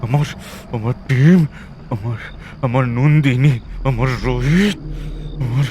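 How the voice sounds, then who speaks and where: A man's voice: medium pitch, slow, warm tone, highs cut off, a little hiss or hum, close to an everyday voice. A man acting a middle-aged, ruthless tycoon in an audio thriller, broken and pleading, stammering and repeating himself in desperation. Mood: desperate rage. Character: ruthless tycoon, broken, panicked and pleading before his accuser.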